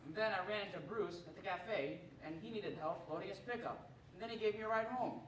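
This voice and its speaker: A man's voice: high-pitched, fairly quick, recorded from a distance, crowd noise, lightly acted. A man playing a folksy, aw-shucks cowboy game warden and husband in an old-time radio commercial staged live. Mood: sluggish, sheepish. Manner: folksy, aw-shucks country talk. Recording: roomy, noisy stage recording from afar.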